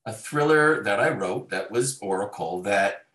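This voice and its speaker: Guy chatting as a guest, deep-ish voice, halting and hedgy, echoey room. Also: expressive, fairly bright tone. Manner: halting, circling phrases with many hedges. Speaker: guest, novelist. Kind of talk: casual interview chat. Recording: roomy, echoey sound.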